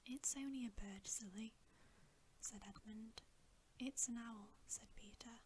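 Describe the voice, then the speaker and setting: Female ASMR narrator for bedtime fiction: gentle breathy whisper, very unhurried delivery, slight background hiss. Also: fairly high pitch. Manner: gentle, unhurried, soothing storytelling. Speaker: ASMR reader.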